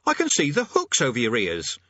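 A man's voice: mid-range voice, huge pitch swings, brisk, pushed and bright, dry recording, lo-fi sound, voice pushed up, exaggerated voice. A man voicing a wary, sarcastic, put-upon wizard with a dry manner in a storybook reading. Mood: dry, probing. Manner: dry, sardonic.

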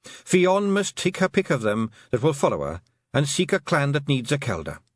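Brief: man doing an old fairy matriarch, raised pitch, slow, dry close sound. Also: wildly swooping pitch, dry recording. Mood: stern. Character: tiny old dying fairy clan mother, commanding.

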